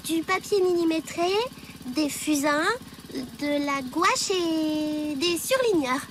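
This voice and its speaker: A woman voicing a determined, scheming schoolgirl in a cartoon, earnest and quick with excuses. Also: sits high, lively intonation, slight background noise. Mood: feigned innocence. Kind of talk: French animated series dubbing.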